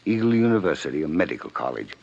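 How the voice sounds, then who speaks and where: A very deep-voiced aristocratic older gentleman. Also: big pitch swings, gravelly, exaggerated voice. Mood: resigned. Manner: formal, measured diction. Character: guilt-ridden aristocratic wealthy older father, stiff and formal. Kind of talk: 1950s radio drama acting. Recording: muffled vintage radio recording.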